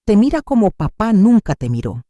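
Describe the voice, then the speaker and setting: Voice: medium pitch, very animated, normal speed, dark tone, no room sound, highs cut off, pitched above the performer's own voice, natural voice. A narrator voicing an affectionate adult daughter who confides tenderly in her mother, in a story. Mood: tender approval.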